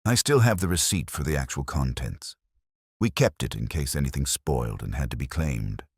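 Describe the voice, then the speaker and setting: A man voicing an ashamed, frightened village woman, soft-spoken and anxious, in an audio mystery story. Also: a bass voice, lively intonation, normal speed, slightly rough, no echo, clean studio sound, full character voice.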